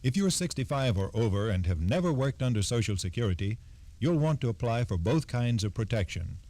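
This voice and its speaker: A man giving a scripted 1960s radio explanation as an expert, measured, formal and instructive. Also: on the low side, dark tone, no room sound. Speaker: Social Security expert guest.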